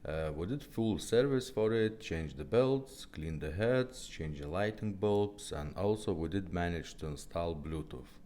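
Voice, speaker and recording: A man's voice: low-pitched, animated, measured pace, few pauses, darkish tone. A man giving a casual product demonstration, explaining things step by step in short, simple phrases like a technician. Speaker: car radio technician presenting a demo.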